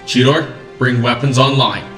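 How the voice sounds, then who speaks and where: A man voicing a gruff, decisive robot ship captain, firm and commanding, in a comic dub. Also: mid-range voice, steady tone, normal speed, natural voice. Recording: echoey room, music underneath.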